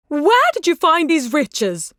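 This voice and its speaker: A woman's voice: extremely high pitch, fairly bright tone, no echo, heavily acted. A woman voicing a greedy, scheming middle-aged stepmother in a fairy tale, with a commanding manner. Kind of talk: fairy tale character acting.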